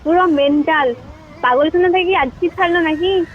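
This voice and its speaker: A woman in a casual phone conversation, answering a prank caller with curt, sceptical, sharp retorts. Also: very high-pitched, moderate pace. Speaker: recipient of a prank call. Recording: muffled, noisy phone call recording.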